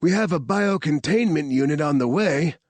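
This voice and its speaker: A man's voice: a little high, animated, normal speed, no echo, pitched above the performer's own voice. A man voicing an earnest young male computer expert in his mid-twenties.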